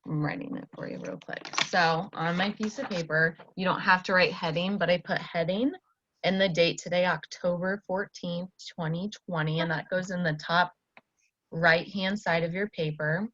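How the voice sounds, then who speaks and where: American female teacher, informal step-by-step instruction, lowish slow delivery, faint background noise. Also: fairly even intonation, a bit bright. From Iowa, United States. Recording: dull lo-fi audio with faint noise.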